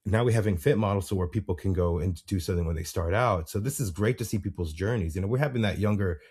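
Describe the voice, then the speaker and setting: Low, husky-voiced male podcast host. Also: fast talker, darkish tone. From United States. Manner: rambling, with many fillers and restarts. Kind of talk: casual interview chat.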